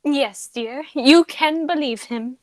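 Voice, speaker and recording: A woman's voice: sits high, slow, dry recording. A woman acting a haughty, dignified young society lady in a radio play comedy, speaking in a formal, declarative way. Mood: grand, decisive.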